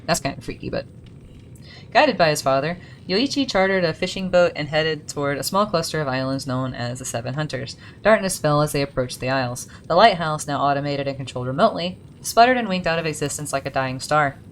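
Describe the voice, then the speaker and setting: Low-pitched woman, casual game commentary, reads story then chats, light background rumble. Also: lively intonation, brisk. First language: English. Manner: chatty asides while reading lore aloud. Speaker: gaming video creator.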